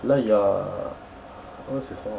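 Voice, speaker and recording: A man's voice: fairly low pitch, measured pace, vocal fry, background noise. A young man chatting casually while playing a game, rambling and hesitant, with many fillers and restarts. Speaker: gaming streamer.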